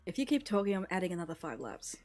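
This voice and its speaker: A woman's voice: lowish voice, sing-song, fast talker, darkish tone, faint music behind. A woman voicing a bossy, impatient teenage girl runner in a visual novel, pushy and exasperated. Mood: commanding.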